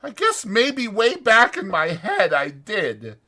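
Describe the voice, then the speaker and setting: A man's voice: sits high, huge pitch swings, slow, bright, forceful tone, voice pushed up, full character voice. A man voicing a weary, resigned but protective ranch worker in an audiobook, with blunt, ungrammatical rural working-man speech. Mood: grim resignation. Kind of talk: dramatized audiobook character voice.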